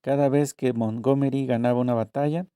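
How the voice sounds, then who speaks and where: A man reading a translated interview aloud, voicing both the questions and the answers in a measured, deliberate way. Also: mid-range voice, fairly even intonation, warm tone, smooth, dry recording, clean studio sound.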